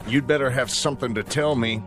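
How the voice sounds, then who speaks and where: An actor playing a stern, demanding army captain in a radio drama, curt and commanding. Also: male voice, mid-range voice, brisk, music in the background, close to an everyday voice.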